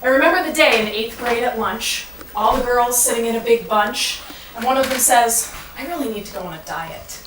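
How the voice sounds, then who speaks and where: A woman delivering a rhyming spoken-word monologue in a dramatic, deliberate storytelling manner. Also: fairly high pitch. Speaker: monologue performer. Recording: echoey, noisy room from far off.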